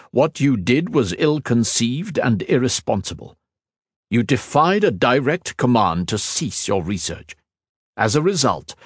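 A stern, coldly judging council leader, voiced by a man reading a story aloud. His deep baritone delivers firm, measured verdicts slowly. The sound is dry and close. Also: breathy edge, dry recording. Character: stern male council leader, cold judge.

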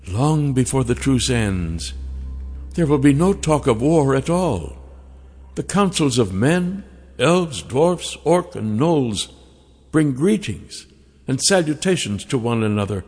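A man's voice: mid-range voice, very animated, darkish tone, slightly rough, big-room sound, voice pushed up, heavily acted. Man voicing a silky, seductive she-dragon. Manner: silky, coaxing, slow and drawn out. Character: seductive ancient female dragon in woman form. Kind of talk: audiobook character voice.

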